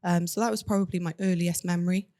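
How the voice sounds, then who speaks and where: A woman's voice: on the low side, fairly even intonation, fast, warm tone. A young woman from Cardiff with a Welsh accent, telling her life story to an interviewer in a candid, matter-of-fact way. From Cardiff, Wales. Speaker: guest.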